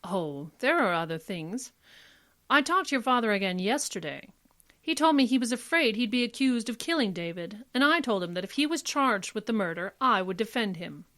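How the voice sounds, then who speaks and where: A woman's voice: fairly high pitch, lively intonation, normal speed, a bit bright. Woman acting a confident, shrewd lady attorney. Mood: accusing. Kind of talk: old-time radio drama acting.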